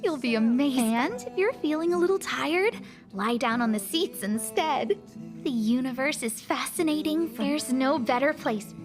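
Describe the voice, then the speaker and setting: A woman's voice: high voice, animated, moderate pace. A woman voicing a friendly, dreamy young stargazer in a video game, earnest and enthusiastic. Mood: eager, dreamy. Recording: music behind the voice.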